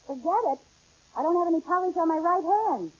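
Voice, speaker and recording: A woman playing a flustered, dawdling housewife with quick exasperated retorts in a 1940s radio comedy. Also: extremely high pitch, lively intonation. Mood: defensive, teasing. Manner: exasperated, playfully argumentative. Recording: dull old radio recording.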